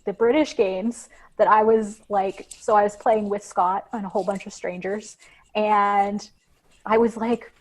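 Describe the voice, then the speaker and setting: A woman's voice: mid-range voice, steady tone, a little slow, rough recording. A woman guest on a podcast interview, explaining her research in a thoughtful, conversational way with frequent fillers.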